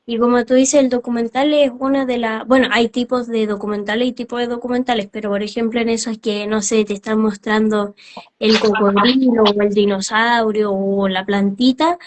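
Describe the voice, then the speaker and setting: Somewhat high-voiced woman hosting an interview. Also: steady tone, normal speed. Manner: curious, asks rambling questions. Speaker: interview host. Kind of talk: casual interview talk.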